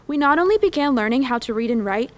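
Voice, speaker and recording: A woman's voice: sits high, lively intonation, fast talker. A young woman in her late teens with an American accent, reading a prepared commencement speech as a student at a podium microphone. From United States. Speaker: graduating student speaker. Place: school auditorium stage.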